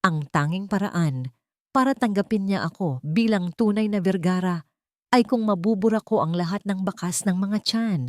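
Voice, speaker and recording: A ruthless young villainess, voiced by a woman narrator, shrill and desperate. She makes menacing demands in an audio melodrama, close and dry. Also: moderate pace, darkish tone, no echo, lightly acted. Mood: bitter.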